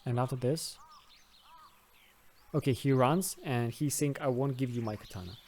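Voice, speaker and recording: Guy doing an off the cuff screen tutorial, mid pitched, kinda hesitant, thinking out loud, noisy recording. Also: normal speed. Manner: hesitant, thinking aloud step by step. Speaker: host of a video game modding tutorial.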